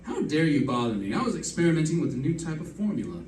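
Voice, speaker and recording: A pompous, tipsy old-time radio sidekick with a rather high voice. He declaims in a flowery, arch manner. It is recorded from some distance in an echoey room. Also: very animated, fast, a little mellow, slight background noise. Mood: tipsy, grandiose. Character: pompous, tipsy, theatrical male photographer sidekick. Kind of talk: old-time radio comedy-mystery acting.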